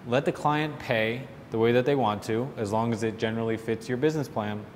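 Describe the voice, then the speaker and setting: A man hosting a question-and-answer show, giving informal, opinionated and explanatory advice off the cuff. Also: fairly quick. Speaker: host answering listener questions on salon business. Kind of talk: casual unscripted business advice. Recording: roomy reverberant hall, low rumbling noise.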